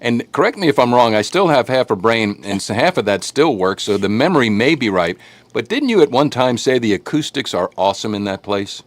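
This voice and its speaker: A man's voice: mid-range voice, sing-song, brisk, dry recording. Joking man hosting local radio. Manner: jokey, rambling, self-deprecating. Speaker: host. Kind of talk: casual local radio and stream interview.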